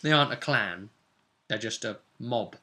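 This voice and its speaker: A man's voice: mid-range voice, animated, moderate pace, pushed and bright. A man, a game master casually recounting a tabletop role-playing session in a chatty storytelling way. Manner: chatty, conversational storytelling with tag questions.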